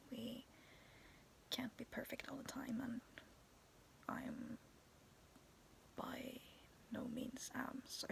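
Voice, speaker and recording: A young woman vlogging in a soft, raspy whisper, talking to her viewers to camera and telling a spooky story, giggling now and then. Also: on the high side, low-quality recording. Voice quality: raspy, cracking voice. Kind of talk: whispered casual vlog with a ghost story. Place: dim bedroom with fairy lights. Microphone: phone or webcam at arm's length.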